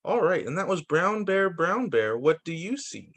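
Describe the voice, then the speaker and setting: A man teacher reading picture books aloud to young children, with deliberate, sing-song phrasing. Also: sits high, very animated, fairly bright tone.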